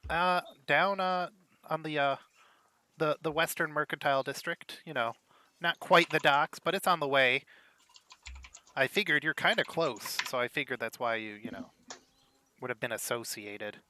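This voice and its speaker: A man's voice: fairly high pitch, normal speed, pushed and bright. A man voicing a boastful male halfling musician who rambles and teases. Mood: chatty. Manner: rambling, teasing, fond of jokes.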